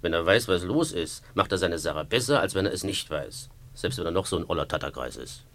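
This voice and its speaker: An actor playing an impatient, coarse gangster who bosses his gang around in gruff slang in a radio play. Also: low voice, lively intonation, brisk, fairly bright tone, slight background noise, full character voice.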